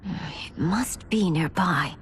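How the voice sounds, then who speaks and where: A woman's voice: lowish voice, takes it slowly, slight background noise. A woman playing a fierce, determined warrior in a video game cutscene, speaking firmly and resolutely. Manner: firm, resolute.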